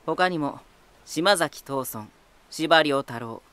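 An actor playing a teasing, talkative lighthouse spirit in an anime-style voice drama, boyish and self-assured with an unsettling edge. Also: male voice, fairly high pitch, slow, bright, forceful tone, clear, resonant tone. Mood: relaxed, proud. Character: playful male lighthouse spirit, teasing, talkative, eerily battle-hungry.

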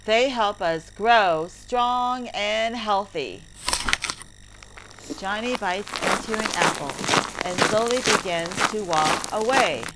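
A woman narrating a story lesson for English learners, reading deliberately word by word. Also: medium pitch. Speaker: teacher narrator. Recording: heavy background noise, clicks.

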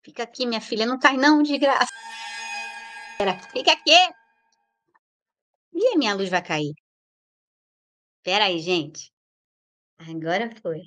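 A woman's voice: mid-range voice, measured pace, long pauses, fairly bright tone. A woman with a Brazilian Portuguese accent hosting a casual livestream, chatting playfully and greeting viewers by name. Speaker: livestream host.

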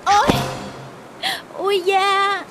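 High-pitched, pert young street vendor. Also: female voice, level tone, exaggerated voice. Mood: pained, distressed. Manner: pert, quick to argue. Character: sharp-tongued young female street sugarcane-juice vendor. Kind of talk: cartoon dubbing. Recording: music behind the voice.

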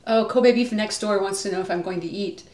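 A woman's voice: mid-range voice, brisk. An older woman chatting casually as a guest on a live stream. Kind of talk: casual chat. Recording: echoey room, faint ambient noise.

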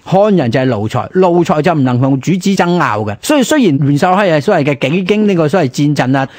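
Quick-talking Hong Kong man, dry studio sound. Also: on the high side, expressive, a little mellow, no room sound. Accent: Hong Kong Cantonese. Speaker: radio history show co-host. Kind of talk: conversational history commentary.

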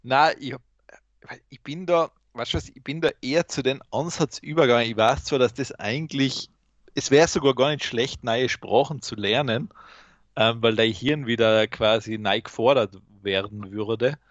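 A man's voice: mid-range voice, normal speed, no room sound, highs cut off. A man with an Austrian accent chatting casually as a podcast co-host, explaining things in colloquial speech. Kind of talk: casual chat.

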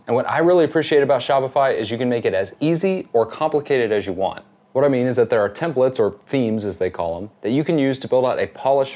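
A man in his thirties hosting a home workshop channel, explaining his projects in a brisk, upbeat, practical way on a lapel mic. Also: mid-range voice, a little mellow. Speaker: woodworking and 3D printing channel host. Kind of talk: casual shop vlog narration. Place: home basement and garage workshop.

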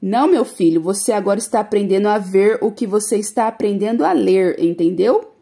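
Patient storybook dad, calm and explaining, somewhat lower, as a woman plays him. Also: fairly quick, vocal fry, husky.

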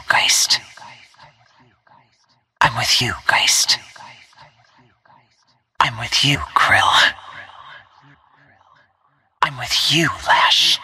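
A woman playing a stealthy, cool-headed assassin in a video game, giving terse callouts in a hushed, husky, breathy voice. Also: no echo, full character voice. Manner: terse, clipped phrases in a low-key, controlled delivery. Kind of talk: short in-game callout lines.

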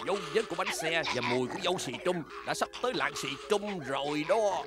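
A woman's voice: low voice, animated, fairly quick. A woman voicing a hot-tempered, scheming old male wizard in a cartoon dub, scolding and exclaiming. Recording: loud music behind the voice. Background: loud music.

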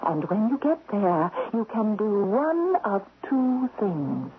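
A slow, imperious queen of thieves. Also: medium pitch, sing-song. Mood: coldly insistent. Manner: commanding, slyly coaxing, deliberate. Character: seductive, imperious talking statuette, Indian queen of thieves. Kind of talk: 1970s radio drama acting. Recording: muffled vintage radio recording with hiss.

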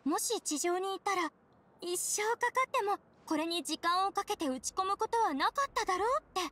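Casting: a courteous, sincere young club member in a game, notably high voice, gently explaining. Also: female voice, lively intonation, moderate pace, full character voice. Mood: earnest, content. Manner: polite, earnest explaining. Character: earnest, contented young woman, member of a hobby society. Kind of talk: game dialogue acting.

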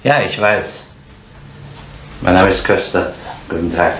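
An actor playing a gruff, sceptical older inspector in a vintage crime drama, questioning people in a dry, matter-of-fact way. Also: male voice, sits low, a little slow. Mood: dry, composed. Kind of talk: 1970s German television crime drama acting. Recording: noisy vintage drama soundtrack.

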